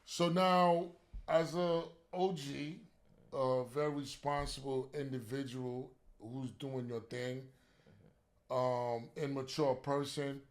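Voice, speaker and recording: A man from Haiti hosting an interview, asking questions in a playful, joking, animated way. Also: mid-range voice, takes it slowly. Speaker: interview host, DJ. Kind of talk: casual interview talk.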